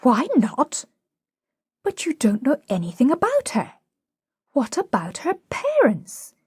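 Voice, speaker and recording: A woman's voice: high voice, wildly swooping pitch, takes it slowly, darkish tone, slightly rough, no room sound, clean studio sound, pitched above the performer's own voice, full character voice. Woman playing a prim scolding housekeeper. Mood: protesting. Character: Mrs Pierce, proper, disapproving housekeeper woman.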